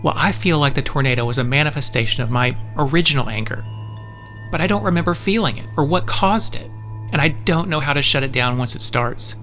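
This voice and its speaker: A man's voice: a little high, fairly quick, a bit bright, faint music behind. An actor playing a mild-mannered, troubled man in an audio drama, hesitant and introspective in a conversational way. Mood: troubled, earnest. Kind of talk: scripted audio drama acting.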